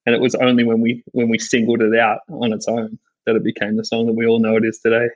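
An Australian man guesting on a podcast, telling stories in an animated, joking way. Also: medium pitch, fast, highs cut off. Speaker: worship songwriter guest. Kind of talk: casual podcast chat.

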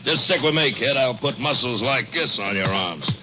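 An actor in a 1950s radio drama playing a gruff veteran logger who bullies his young partner, with a rough folksy drawl. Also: mid-range voice, expressive, normal speed, lightly acted. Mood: boastful. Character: gruff veteran male logger, greedy bully. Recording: dull old radio transcription, hiss.

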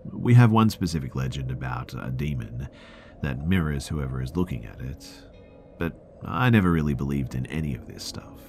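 A man reading a first-person horror story aloud in a hushed, drawn-out dramatic delivery, dropping into a whisper at times. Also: a bass voice, expressive, vocal fry, faint music behind. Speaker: narrator. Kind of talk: scripted horror story narration.

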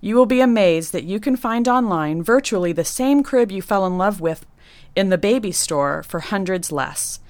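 A woman who designs nurseries, reading a prepared segment of tips in a clear, instructive way. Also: mid-range voice, animated, normal speed, dry recording. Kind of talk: scripted segment read.

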